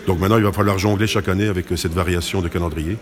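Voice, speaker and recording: A low-pitched man speaks quickly. He answers as an interview guest, explaining point by point in a conversational way, often stopping to correct himself. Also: fairly even intonation. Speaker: carnival committee president, interview guest.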